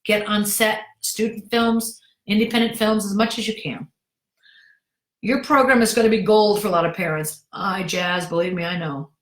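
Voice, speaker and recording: A woman's voice: medium pitch, steady tone. Direct woman coach in an echoey room. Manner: direct, brisk, reads out viewer comments. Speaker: acting career coach. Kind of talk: live Q&A answering viewer questions.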